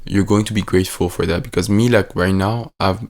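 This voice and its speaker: Teen guy on the edge of twenty, low voice, French accent, rambly and filler-heavy vlog delivery. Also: fairly even intonation, a little fast, a little mellow. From French-speaking. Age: late teens. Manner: rambling, many fillers and restarts. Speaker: vlogger. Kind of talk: casual reflective vlog.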